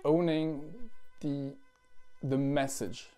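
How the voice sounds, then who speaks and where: Thoughtful man from France, halting speech. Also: medium pitch, fairly even intonation, dark tone, clear, resonant tone, faint music behind. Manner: thoughtful, halting, many fillers and restarts. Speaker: musician. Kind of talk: reflective interview talk. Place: living room at home.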